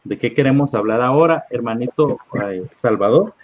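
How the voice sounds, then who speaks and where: A man leading a live-streamed Bible study, reading scripture aloud at an unhurried pace and often checking in with "¿verdad?". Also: medium pitch, expressive, no room sound. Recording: dull lo-fi online stream audio.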